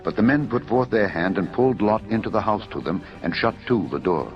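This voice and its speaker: A man's voice: fairly low pitch, lively intonation, fairly quick. A man narrating dramatised scripture in a formal, solemn style. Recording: dull narrow audio over music.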